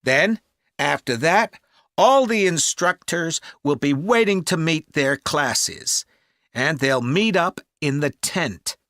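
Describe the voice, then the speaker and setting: An actor playing a helpful, organised man on a children's camp staff, explaining clearly and deliberately in a listening-test dialogue. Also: a little high, animated, a bit bright, no room sound, clean studio sound, heavily acted. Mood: helpful, businesslike. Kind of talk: acted informational phone-enquiry dialogue for a listening test.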